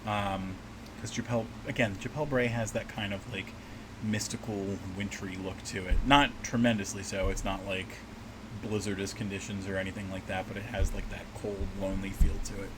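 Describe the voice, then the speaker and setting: Low, slightly scratchy man streaming from home. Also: normal speed. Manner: rambling, relaxed, many fillers and restarts. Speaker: livestream host and map maker. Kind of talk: casual livestream chat while working. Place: small home desk setup. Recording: steady air-conditioner hum behind voice. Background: air-conditioning hum.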